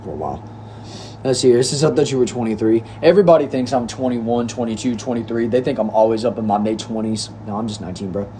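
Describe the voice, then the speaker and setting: A man's voice: medium pitch, fast, dark tone, a little hiss or hum. Laid-back young man streaming. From Texas, United States. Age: young adult. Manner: laid-back, chatty, addresses viewers as bro and y'all. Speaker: livestreamer reading chat. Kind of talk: casual livestream chat. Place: indoor room.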